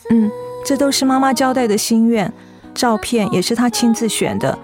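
An actress playing a devoted, tender and anxious young adult daughter in a Mandarin radio drama. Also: female voice, on the high side, normal speed, over music, natural voice. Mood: composed, grateful.

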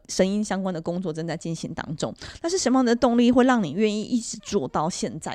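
Quick, animated woman interviewing. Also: medium pitch, no room sound. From Taiwan. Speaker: podcast host, interviewer. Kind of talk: conversational interviewing with long questions.

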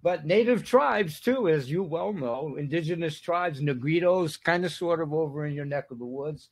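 A man's voice: fairly high pitch, expressive, normal speed, slightly rough. Rambling host who is losing his voice. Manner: rambling, apologetic, frequent "correct" and "right" interjections. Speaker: interview host. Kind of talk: conversational interview.

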